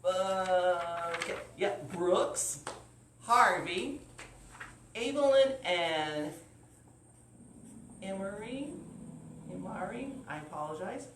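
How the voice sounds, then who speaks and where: Animated man telling children's stories. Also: high voice, slow, pauses often. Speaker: library storyteller and host. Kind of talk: live children's story time, animated storytelling. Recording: roomy, distant mic, faint noise.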